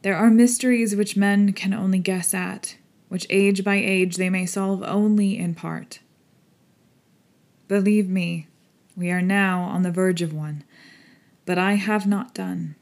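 A woman's voice: mid-range voice, steady tone, slow, pauses often, a little mellow. A grave old professor, played by a woman narrator, stern but compassionate. He speaks formally and earnestly, with the solemn manner of an audiobook drama. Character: grave, pitying elderly male professor, stern yet kind. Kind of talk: audiobook character acting.

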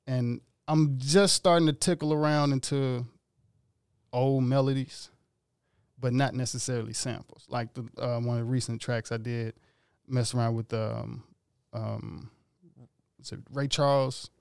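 A man's voice: medium pitch, steady tone, a little slow, vocal fry, darkish tone, clear, resonant tone, no room sound, studio quality. A man, a musician guest, talking casually and rambling in slangy speech about his music. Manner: laid-back, rambling, slangy. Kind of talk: casual interview talk.

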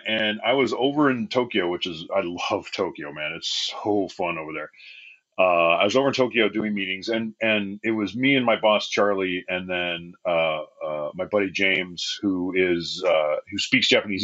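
Husky laid-back man, lo-fi sound. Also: low-pitched, fairly bright tone. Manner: laid-back, lots of fillers and laughs, says "man" and "dude". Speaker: podcast guest, game designer. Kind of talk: casual chat.

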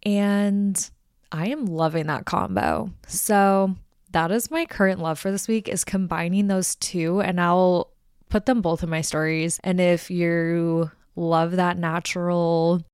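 A woman hosting her own podcast, talking casually and rambling from one thought to the next with plenty of filler words. Her voice sits a little low and comes through smooth and clean, with a slight allergy-stuffed nasal edge. The close, dry recording keeps every word upfront. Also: fairly even intonation, slow, a little mellow, no room sound. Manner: chatty, rambling, frequent "like" fillers. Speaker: podcast host. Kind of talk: casual solo podcast chat.

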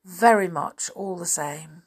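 A woman's voice: fairly low pitch, slow pace, breathy edge. A woman voicing a sensible young girl in a children's story, firm but kindly.

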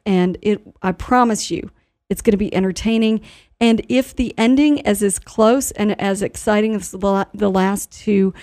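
A woman hosting a live radio talk show in an informal, halting manner, sometimes reading notices aloud. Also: mid-range voice, darkish tone.

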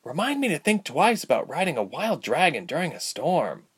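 A man reads as a young woman adventurer in a storybook. The pitch is raised and moves about freely. The delivery is earnest and impatient, polite but urgent. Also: sing-song, normal speed, fairly bright tone, husky, full character voice. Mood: worried, weary. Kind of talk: storybook character voice.

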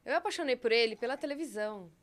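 Guest, female, candid and relaxed, slightly high voice. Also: very fast. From Minas Gerais, Brazil. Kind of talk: casual podcast chat.

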